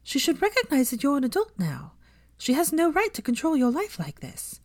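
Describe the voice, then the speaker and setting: A woman voicing an earnest, defiant young witch, caring and quick to indignation, in an audiobook-style story reading. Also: sits high, wildly swooping pitch, darkish tone, dry recording, studio quality, voice pushed up, exaggerated voice. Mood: indignant, tentative.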